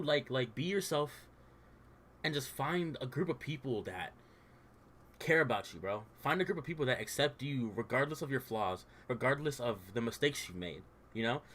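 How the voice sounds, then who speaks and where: A man's voice: medium pitch, fairly bright tone, a little hiss or hum. A young man who stutters at times, talking candidly in a loose, informal way and saying "bro" and "like" over and over, as a streamer and dungeon master. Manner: informal, says "bro" and "like" a lot. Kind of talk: casual heartfelt rant on stream.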